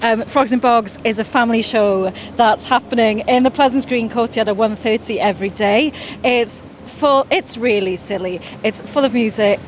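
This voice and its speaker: Welsh woman, bubbly, playful, laughs easily, fairly high voice, faint street noise. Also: moderate pace. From Wales. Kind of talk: casual interview. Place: busy street outdoors.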